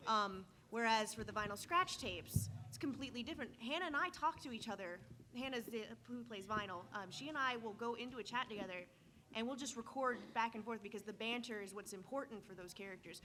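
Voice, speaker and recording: Bright-voiced woman on a panel. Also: on the high side, a little fast, a little hiss or hum, low-quality recording. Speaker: voice actor on a fan convention panel. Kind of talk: casual panel talk. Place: indoor convention meeting room. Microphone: handheld or table microphone.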